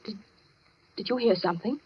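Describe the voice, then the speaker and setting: An actress playing a caring, slightly nervy hospital nurse in an old radio drama, gentle and concerned. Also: female voice, medium pitch, a little fast, a little hiss or hum, natural voice. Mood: frightened. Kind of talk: 1940s radio drama acting. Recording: dull old radio recording.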